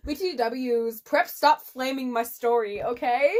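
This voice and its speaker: A woman's voice: high-pitched, a little slow, a little mellow. A woman reading fan fiction aloud in a theatrical, giggly, comedic way. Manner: giggly, theatrical, often breaks into laughter.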